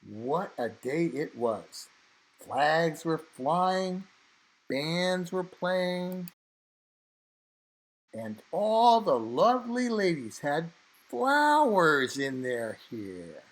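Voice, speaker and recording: A man reading a children's story aloud, expressively, with dramatic emphasis and drawn-out phrases. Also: a little high, sing-song.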